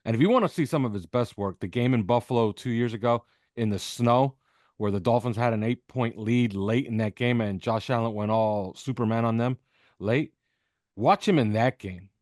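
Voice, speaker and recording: A man's voice: medium pitch, moderate pace, darkish tone, dry recording, studio quality. Emphatic man giving sports analysis. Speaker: podcast host appearing as guest analyst. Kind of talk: sports interview commentary. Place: video call with backdrop behind.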